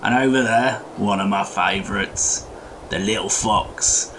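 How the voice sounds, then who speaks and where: A man's voice: lowish voice, measured pace, noisy background, close to an everyday voice. A man voicing a chatty, folksy goblin tinkerer who guides the listener through a playful fantasy bedtime story. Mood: hushed wonder. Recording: music behind the voice. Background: music.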